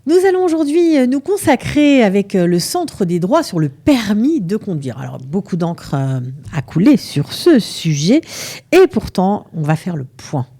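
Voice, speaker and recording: A woman hosting an interview show, briskly leading the guest with questions and recapping his answers. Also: medium pitch, big pitch swings, dark tone, a little hiss or hum. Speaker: radio show host.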